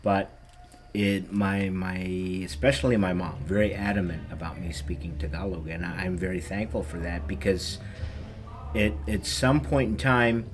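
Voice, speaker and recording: Deep-voiced man in his fifties. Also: moderate pace, a little hiss or hum. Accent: General American. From Ohio, USA. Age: 50s. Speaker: guest. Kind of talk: casual interview chat.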